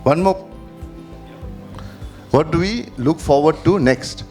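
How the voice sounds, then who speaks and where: A man's voice: on the high side, slow pace, a little mellow, faint music behind. A man moderating a festival panel, joking with the guests and pressing his questions. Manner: jokey, pushes for answers.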